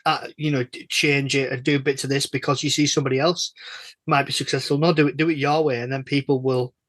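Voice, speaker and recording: A man's voice: medium pitch, level tone, fast talker, fairly bright tone, no echo. A man hosting a casual interview, chatty and emphatic, often stammering over and repeating his phrases. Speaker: podcast interviewer.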